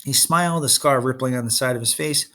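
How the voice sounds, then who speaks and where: A man reading a story aloud as an expressive narrator. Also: medium pitch, fairly quick. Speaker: storybook reader.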